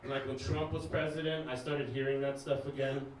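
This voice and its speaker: A man's voice: medium pitch, little pitch movement, brisk, a little hiss or hum. A man originally from Iran doing a stand-up set on a club stage, chatting loosely with the crowd and throwing in asides. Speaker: stand-up comedian and DJ. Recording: very echoey club room, mic far off, crowd loud. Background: audience laughter and cheering.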